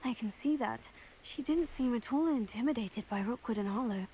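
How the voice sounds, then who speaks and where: A woman's voice: fairly high pitch, normal speed, dark tone, a little hiss or hum, lightly acted. A woman playing a guarded but friendly young student companion in a video game. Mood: impressed.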